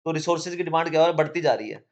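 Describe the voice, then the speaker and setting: A man's voice: a little high, expressive, rapid-fire, highs cut off. Male teacher with a sore throat. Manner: casual, repeats "theek hai" between points. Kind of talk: explaining economics to students.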